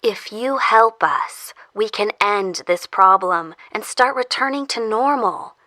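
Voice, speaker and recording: A woman playing a controlled, earnest official who interrogates someone in an audio drama, speaking formally and in measured phrases. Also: mid-range voice, bright, forceful tone, no echo. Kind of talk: audio fiction acting.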